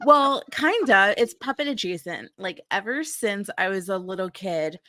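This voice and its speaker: A woman's voice: mid-range voice, lively intonation, normal speed, a bit bright, no echo, studio quality. A woman co-hosting a casual pop-culture podcast, talking in a dramatic, exclamatory, sweary way and saying "like" a lot. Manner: filler-heavy, heavy use of "like". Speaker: podcast co-host.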